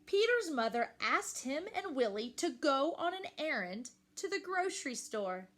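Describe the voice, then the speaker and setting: A woman reading a picture book aloud to young children, expressively and with questions to the listeners. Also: sits high. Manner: animated, slow and deliberate, addressing young children.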